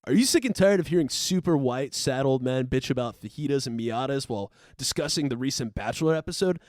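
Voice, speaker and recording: A man's voice: mid-range voice, animated, brisk, a little mellow, dry recording, clean studio sound. A man hosting a podcast, reading a brash, mocking promo for it. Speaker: podcast host.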